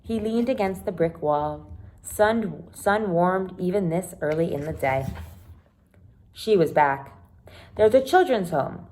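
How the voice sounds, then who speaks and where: A woman's voice: on the low side, takes it slowly, darkish tone, poor audio. A woman reading a children's chapter book aloud like a teacher, expressively, with explanatory asides for young listeners. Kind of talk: read-aloud storytelling with short explanatory asides.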